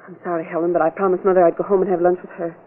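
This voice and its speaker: A woman's voice: on the low side, little pitch movement, rapid-fire, a little hiss or hum, close to an everyday voice. A woman playing a friendly, troubled small-town clerk in a 1940s radio crime drama. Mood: evasive. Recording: muffled, narrow-band old radio recording.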